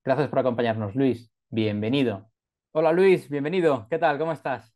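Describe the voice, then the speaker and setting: Male interview host, mid-pitched voice, friendly, stumbles and repeats words. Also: normal speed, darkish tone. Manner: friendly, stammers and repeats words, tags questions with "¿no?". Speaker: podcast host. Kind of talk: interview hosting.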